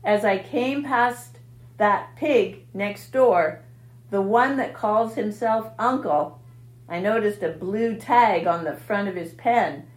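A bloated, gloating male rat, put on by a woman drags out each word in a husky snarl. His slow, greedy taunts hang in a roomy echo. It is the sound of a storybook scoundrel. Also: mid-range voice, lightly acted. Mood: taunting. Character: gluttonous, sneering male rat, bloated from feasting. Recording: echoey room.

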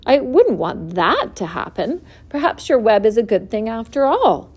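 An innocent, soft-hearted young storybook pig, as a woman reading aloud puts him on. He speaks earnestly, his questions full of wonder, in a high, lively, mellow voice. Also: normal speed, breathy edge.

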